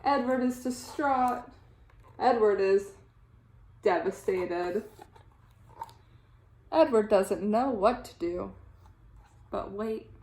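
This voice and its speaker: A woman teacher reading a children's storybook aloud in an expressive, animated way with deliberate phrasing. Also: mid-range voice. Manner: animated, deliberate phrasing with dramatic sound-effect words. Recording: echoey room sound.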